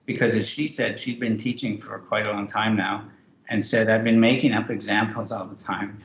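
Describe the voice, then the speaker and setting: A man's voice: fairly low pitch, steady tone, moderate pace, a bit bright, slight background noise. A man, co-author of a book, talking loosely with many restarts in a virtual author interview. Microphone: video call. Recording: roomy, muffled video call.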